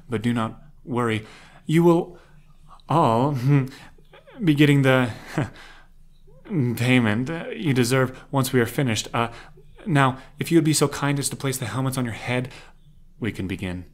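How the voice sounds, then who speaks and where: A man voicing a creepy, gloating psychology professor villain in an audio drama, halting and savouring his words with hums. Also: medium pitch, natural voice. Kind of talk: fan-made audio drama acting.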